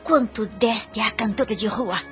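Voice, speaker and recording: Woman acting a disdainful, high-voiced wealthy lady. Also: measured pace, faint music behind, natural voice. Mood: reproachful. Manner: curt, scornful, formal. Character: impatient, disdainful wealthy lady, thin and careworn companion. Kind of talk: radio drama acting.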